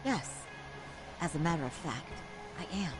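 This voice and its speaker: A woman's voice: sits low, animated, unhurried, over music. An actress playing a resolute woman lawyer in a crime drama game, formal and precise in her delivery. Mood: indignant, resolute. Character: composed, steely woman lawyer with quiet indignation. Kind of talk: dramatic English game voice acting.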